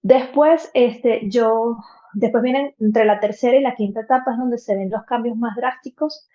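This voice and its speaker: A woman's voice: a little high, fairly even intonation, normal speed, highs cut off. A Venezuelan woman in her thirties, a podcast guest telling a personal story earnestly, with many fillers and restarts. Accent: Venezuelan Spanish.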